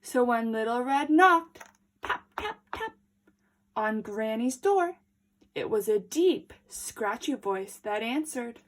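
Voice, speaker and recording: A woman storyteller reading aloud to children, expressive and deliberate, with dramatic emphasis. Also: a little high.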